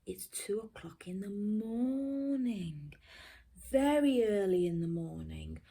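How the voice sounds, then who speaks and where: A woman reads a storybook to young children at a slow, careful pace. Her voice is slightly husky, and she often sinks to a hushed near-whisper. She is expressive and pauses to put questions to her listeners like a teacher. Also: mid-range voice. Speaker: primary school teacher reading a storybook.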